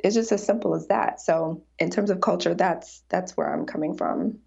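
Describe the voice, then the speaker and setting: A woman's voice: fairly low pitch, normal speed, gravelly, a little mellow, breathy edge. A woman in her forties, an artist talking reflectively in a casual interview, laughing often. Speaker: artist guest. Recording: dull lo-fi sound.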